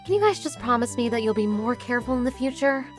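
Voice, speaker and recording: A woman voicing a sensible, articulate young detective in a cartoon, speaking in an earnest, measured way. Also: a little high, lively intonation, faint music behind, lightly acted. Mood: measured concern.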